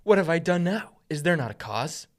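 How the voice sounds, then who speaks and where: A man's voice: a little high, expressive, a little fast, natural voice. A man playing a defiant, earnest young shepherd in a dramatic scripture reading. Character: defiant young Hebrew shepherd hero, David.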